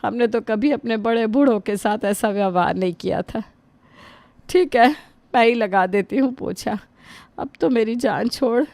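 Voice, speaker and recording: A woman's voice: on the high side, normal speed, creaky, darkish tone, dry recording. A woman voicing an aging, put-upon mother-in-law in a family drama, who scolds and sighs to God about her treatment. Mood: aggrieved. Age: older. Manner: wounded, scolding, sighing to God. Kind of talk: Hindi family drama voice acting.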